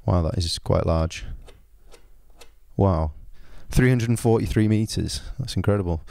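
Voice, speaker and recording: A man giving an informal spoken product review, explaining things in a measured, understated way. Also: low-pitched, vocal fry, darkish tone, dry recording.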